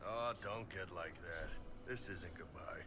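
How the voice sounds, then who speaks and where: An actor playing a big, brash mercenary warrior, blunt and hearty with an easygoing, confident swagger. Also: male voice, sits low, a little slow, pushed and bright.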